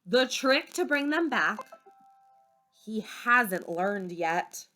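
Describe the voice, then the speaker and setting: A woman reading a children's story aloud expressively, with dramatic pauses and emphasis. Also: mid-range voice, animated, bright, forceful tone, soft background music. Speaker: storybook reader.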